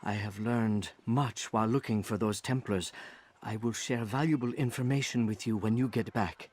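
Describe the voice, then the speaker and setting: An actor playing a deferential, eager assassin informant in a video game, flattering and formal toward his master. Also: on the low side, moderate pace, natural voice.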